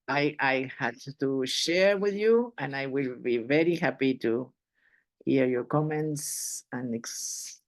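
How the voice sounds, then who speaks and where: Gravelly woman presenter. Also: extremely low pitch. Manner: warm, laughs often, repeats herself. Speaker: webinar presenter. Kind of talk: academic talk then Q&A.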